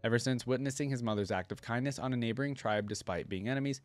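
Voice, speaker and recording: A man with a mid-range voice hosts a reaction video in a casual, conversational way. He moves between reading text aloud and thinking out loud about it. The sound is dry, with very little room in it. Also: mid-range voice, quick pace, no echo, faint music behind. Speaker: YouTube reaction host.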